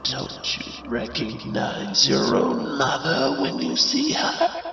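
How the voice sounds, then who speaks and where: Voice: medium pitch, huge pitch swings, takes it slowly, vocal fry, a bit bright, heavily acted. A performer voicing a sinister shadow pony mother with sweetly menacing, coaxing, dramatic delivery in a fan comic dub. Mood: falsely tender. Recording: echoey, distant, faint noise.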